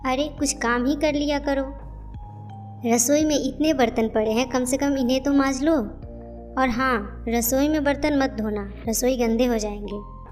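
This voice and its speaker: Woman as nagging cartoon daughter-in-law, high voice, bitter, sarcastic, scolding, music underneath. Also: fairly even intonation, unhurried, a little mellow. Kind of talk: Hindi moral-story cartoon dialogue. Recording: music behind the voice.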